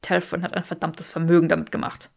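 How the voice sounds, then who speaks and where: A woman's voice: fairly low pitch, completely flat delivery, fast, creaky, darkish tone. A woman voicing a proud young man in an audiobook, dry, curt and sardonic.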